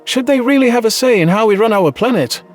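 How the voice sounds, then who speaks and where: Audio drama role: man performing a guarded male alien rescue survivor advising politicians, high voice, light music underneath. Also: expressive, fast talker. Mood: wary, concerned. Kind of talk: audio drama narration dialogue.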